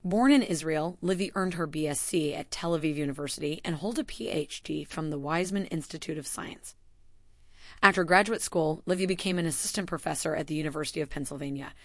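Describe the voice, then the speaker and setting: A woman narrating a scripted announcement in an even, formal read-aloud manner. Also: low voice, fairly quick, no room sound, studio quality.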